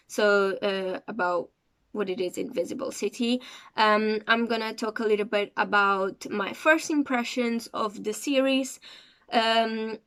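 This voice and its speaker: Slow, hesitant woman. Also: medium pitch, steady tone, fairly bright tone, smooth. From Cape Verde. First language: Portuguese. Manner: hesitant, searching for words as she goes. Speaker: series reviewer. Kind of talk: casual review vlog.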